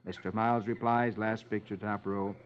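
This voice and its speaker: A man's voice: fairly low pitch, steady tone, normal speed, slight background noise. An American man hosting and reading comics aloud in dramatic 1950s radio storytelling style for children. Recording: muffled old radio recording.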